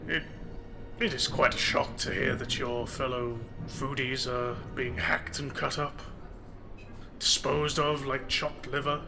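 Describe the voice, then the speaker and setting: An actor playing a mock-courteous detective who relishes grisly detail in a noir audio drama, deliberate in delivery. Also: male voice, medium pitch, close to an everyday voice. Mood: mock concern. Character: grisly-minded, mock-polite male detective in a noir thriller. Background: music.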